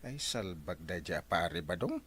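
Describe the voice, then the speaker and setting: An actor with an Ilocano accent playing a complaining, exclamatory village uncle in a radio comedy drama. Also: male voice, low-pitched, sing-song, unhurried, a bit bright, breathy edge, heavily acted.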